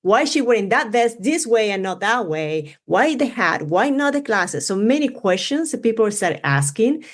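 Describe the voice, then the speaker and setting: A woman's voice: a little high, big pitch swings, normal speed, few pauses. Chatty Venezuelan-accented woman. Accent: Venezuelan Spanish. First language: Spanish. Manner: chatty, rambling with many fillers and restarts. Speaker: running influencer guest. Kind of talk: casual podcast interview.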